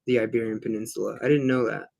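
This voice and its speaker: A man in casual talk-show chat, telling stories in an animated, joking way. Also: fairly low pitch, fast talker, creaky, music in the background. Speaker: show co-host.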